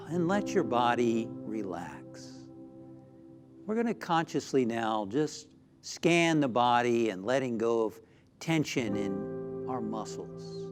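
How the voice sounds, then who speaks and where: Slow-paced man guiding a meditation over music. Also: medium pitch. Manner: soothing, deliberate, with frequent pauses. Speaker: minister leading a guided meditation. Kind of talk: guided meditation, spiritual talk. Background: background music.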